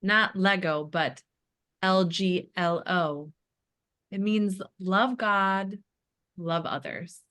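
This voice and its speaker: A woman playing an earnest, folksy evangelical church board member in a comedy table read, deliberately spelling things out. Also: medium pitch, a bit bright. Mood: earnest, pleased.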